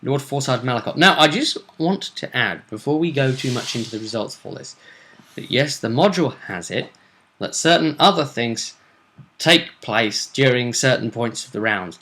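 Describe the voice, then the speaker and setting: A man's voice: mid-range voice, animated, normal speed, sharp tone, a little hiss or hum. A man hosting a role-play vidcast, chatting casually through a game recap in a jokey, sweary way.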